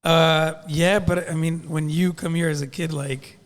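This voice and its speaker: A man from Michoacán guesting on a podcast, with a voice pitched slightly high. He talks in a relaxed, easygoing way, mixing in some Spanish, and a long echo hangs in the room. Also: measured pace. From Michoacán, Mexico, raised in Washington State. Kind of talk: casual interview conversation.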